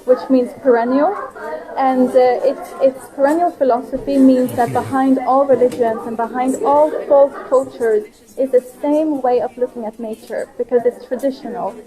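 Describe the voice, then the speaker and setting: A woman raised in Sweden talks through her food project as an interview guest. She explains in plain, earnest steps in a high voice. Crowd chatter runs behind her. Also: fairly even intonation, moderate pace, low-quality recording. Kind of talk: casual interview conversation.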